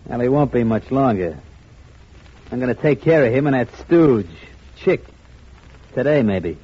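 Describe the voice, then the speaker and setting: A cocky, boastful gangster. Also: male voice, medium pitch, lively intonation, measured pace, slightly rough. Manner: cocky, swaggering slang talk. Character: brash, boastful male gangster boss. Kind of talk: 1940s radio acting. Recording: dull lo-fi old radio recording.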